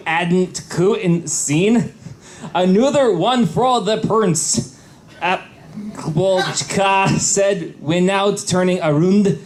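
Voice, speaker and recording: A man reading a story aloud live, carefully sounding out garbled words as written. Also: high-pitched. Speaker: panel participant reading aloud. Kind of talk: live storytelling read of misspelled fan fiction. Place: indoor convention panel room.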